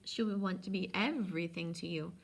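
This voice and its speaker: Woman reader as a creaky old male potion seller, sly, courteous, insinuating sales patter, storybook reading. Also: fairly low pitch, a little fast, a little hiss or hum. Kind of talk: storybook read-aloud.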